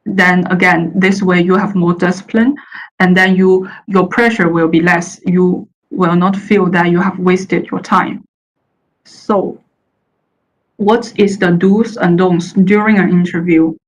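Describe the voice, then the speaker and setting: Woman from china, chinese her first language, a bit low-voiced, halting presentation delivery. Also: flat delivery, rough recording. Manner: hesitant, many uh fillers and restarts. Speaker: panel speaker. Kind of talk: presentation talk. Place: the Netherlands.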